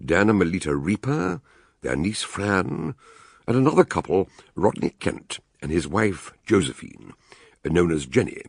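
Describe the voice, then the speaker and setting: A ponderous older British detective for radio drama. The voice is very low and husky, swinging widely in pitch. He weighs his phrases with dramatic gravity. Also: sing-song, unhurried, no echo, studio quality, heavily acted. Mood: measured, grave. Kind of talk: radio drama acting, narrated case notes.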